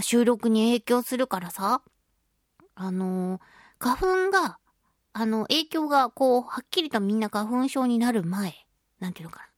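A woman's voice: mid-range voice, no echo, studio quality. A woman hosting a casual solo radio talk, speaking expressively with giggly asides and little sound effects. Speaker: radio show host, voice actress.

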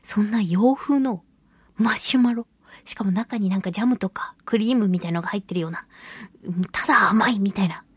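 Woman, casual radio host, slightly breathy husky voice, dry close sound, self-deprecating, giggly, anecdotal chat. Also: medium pitch, fairly quick, no room sound. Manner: acts out little quoted remarks while storytelling. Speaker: radio talk show host; voice actress.

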